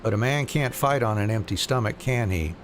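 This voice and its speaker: A man voicing a weary, earnest resistance quartermaster in a fantasy game, measured and a little formal. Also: on the low side, animated, slight background noise, lightly acted. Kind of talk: reading fantasy game dialogue aloud.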